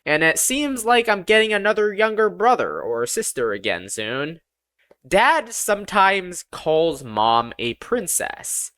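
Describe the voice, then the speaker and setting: An audiobook narrator reading a scripted first-person story in an expressive, deliberate storytelling manner. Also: mid-range voice, clear, resonant tone, no echo.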